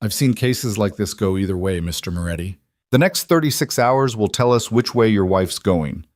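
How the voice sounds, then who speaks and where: A man voicing a calm, professional female toxicologist who speaks in a clinical, careful way in an audio story. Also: fairly low pitch, moderate pace, no echo, clean studio sound, close to an everyday voice. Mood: gently serious.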